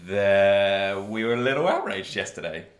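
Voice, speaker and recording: A man's voice: lowish voice, animated, moderate pace. A man co-hosting a casual podcast, chatty and a little hesitant. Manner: chatty, hesitant with many ums. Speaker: podcast co-host. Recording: echoey room.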